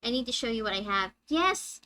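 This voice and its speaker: A young woman with a high-pitched, squeaky voice, chatting with her viewers on a live game stream and reading the story text aloud. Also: very animated, a little fast, bright, forceful tone. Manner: chatty, reads dialogue aloud and answers chat.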